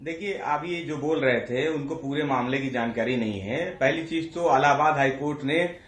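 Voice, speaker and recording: A man's voice: medium pitch, normal speed. A man speaking as a party spokesman in a TV panel debate, combative and insistent. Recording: echoey remote panel feed.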